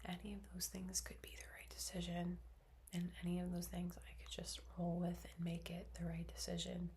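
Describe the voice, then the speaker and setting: A woman in her twenties whispering in a soft, rambling ASMR monologue, full of fillers. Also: fairly low pitch, monotone, normal speed. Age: 20s. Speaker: ASMR creator. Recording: faint background noise.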